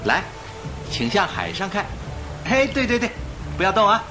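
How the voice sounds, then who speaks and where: An actor playing a suave, confident young gentleman with an emphatic, persuasive delivery in a Chinese radio drama. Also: high voice, sing-song, normal speed. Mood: directing, pleased. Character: suave confident young gentleman passenger, admiring a famous thief. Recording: radio drama with faint music behind.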